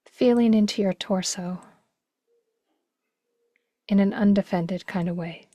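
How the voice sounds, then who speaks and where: A woman leading a guided meditation slowly, in a gentle, hushed, breathy voice with long pauses. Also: medium pitch, no echo. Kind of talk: guided meditation, slow and spacious.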